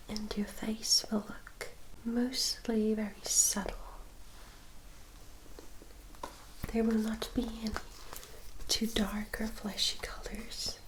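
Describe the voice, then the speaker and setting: A woman playing a composed, caring vampire attendant in an ASMR roleplay, whispering softly and formally as she gives careful guidance like an older sister. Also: medium pitch, lightly acted, poor audio. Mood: gently instructive. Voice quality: breathy, soft whisper. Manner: slow, measured, formal, gently instructive.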